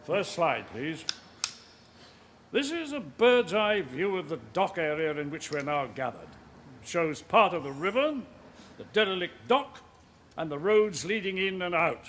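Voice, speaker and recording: An actor playing a senior police officer delivering a formal, clipped, authoritative briefing in a radio drama. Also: male voice, high-pitched, big pitch swings, unhurried, fairly bright tone, slight background noise, exaggerated voice. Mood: grave, authoritative. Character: commanding male senior police officer briefing his men.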